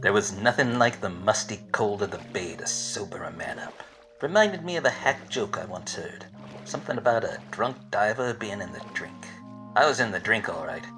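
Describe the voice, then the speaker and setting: A hard-boiled private eye played by a male actor in a 1940s radio noir spoof, speaking low and with a bright, forceful edge. He is wordy, deadpan and a bit dense, with music playing along. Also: low-quality recording. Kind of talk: 1940s detective radio play parody.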